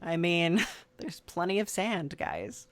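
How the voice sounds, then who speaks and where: A woman's voice: on the low side, sing-song, slow pace, no room sound. A woman hosting a podcast, interviewing in a dry, playful, rambling way with many fillers. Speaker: podcast host. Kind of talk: improvised comedy interview.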